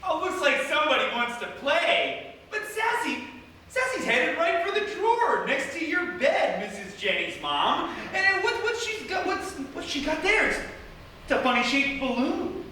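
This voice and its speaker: A man's voice: very high-pitched, big pitch swings, normal speed, bright, forceful tone, heavily acted. A man playing a bumbling, reassuring sheriff in live comedic radio theatre, cheerful and a little stammering. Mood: puzzled. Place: theatre stage in front of audience. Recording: echoey live theatre stage, distant, faint noise.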